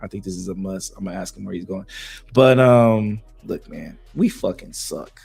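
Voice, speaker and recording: A man co-hosting a podcast, talking sports in a loose, slangy way with a voice that is going hoarse. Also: low-pitched, normal speed, soft background music. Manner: loose, slangy, animated banter with fillers. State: losing his voice. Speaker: podcast co-host. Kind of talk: casual sports talk.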